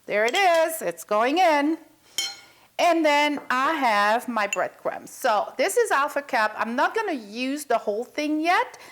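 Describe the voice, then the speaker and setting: A woman's voice: high voice, animated, measured pace, a bit bright. A woman from Belgium, chatting through a recipe as a cooking show host. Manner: chatty, talks viewers through each step. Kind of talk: cooking demonstration.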